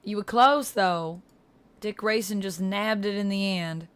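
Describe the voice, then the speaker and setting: A woman's voice: medium pitch, measured pace, clear, resonant tone. Chatty woman streamer with a Southern accent. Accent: Southern US, Georgia, put on. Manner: chatty, addresses viewers by name. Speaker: livestream host. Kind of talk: casual livestream chat, answering viewers.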